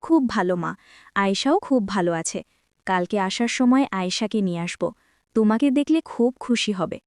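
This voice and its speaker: A woman voicing a gentle, kindly village housemaid in a cartoon. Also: mid-range voice, lively intonation, brisk, clear, resonant tone, no echo, clean studio sound, natural voice. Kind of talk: cartoon dialogue.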